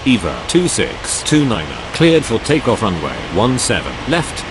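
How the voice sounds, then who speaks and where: A man's voice: on the low side, wildly swooping pitch, measured pace. A man giving clipped, scripted aviation radio calls in an air traffic control game.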